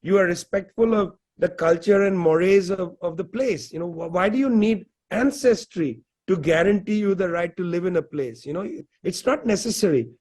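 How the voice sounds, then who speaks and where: A man's voice: high voice, moderate pace, few pauses, darkish tone. A man from India, speaking as an interview guest in earnest, conversational commentary full of rhetorical questions and "you know" fillers.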